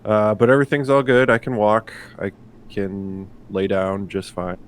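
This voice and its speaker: A man chatting casually in a halting, self-deprecating, sweary way as a tabletop player. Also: fairly low pitch, little pitch movement. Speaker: player on a tabletop game show. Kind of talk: casual chat.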